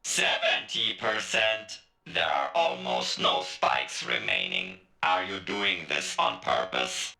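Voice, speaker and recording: A man voicing a mocking, deliberate commentator for a video game, heard in echoey playback. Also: deep voice, very animated, gravelly, sharp tone, full character voice.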